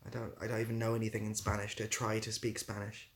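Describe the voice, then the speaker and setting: 낮은 목소리의 영국 억양 남성. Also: male voice, on the low side, monotone, fast. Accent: British. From Britain. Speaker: livestream host. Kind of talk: casual livestream chat answering viewers.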